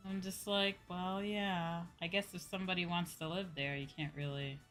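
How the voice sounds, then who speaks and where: Bright, forceful American woman livestreamer. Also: fairly low pitch. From United States. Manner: animated, repeats phrases for emphasis. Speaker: VTuber livestream host. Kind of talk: casual livestream chat with viewers.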